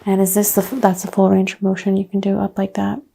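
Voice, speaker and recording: A soft-spoken woman playing a chiropractor in an ASMR roleplay, hushed and often drifting into a whisper. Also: medium pitch, completely flat delivery, fast talker, gravelly. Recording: faint background noise.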